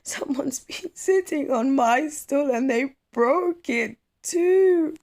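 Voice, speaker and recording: A storyteller voicing a shocked, indignant young boy in a children's storybook, crying out in drawn-out wails. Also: high-pitched, expressive, darkish tone, voice pushed up, exaggerated voice. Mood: shocked, wailing. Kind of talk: children's storybook read-aloud.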